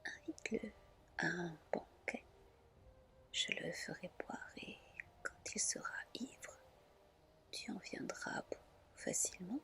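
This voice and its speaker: A woman's voice: fairly low pitch, wildly swooping pitch, takes it slowly, creaky, long pauses, sharp tone, exaggerated voice. Woman whispering as a shrewd, scheming goddess. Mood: sly, advising. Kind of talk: whispered ASMR storybook reading.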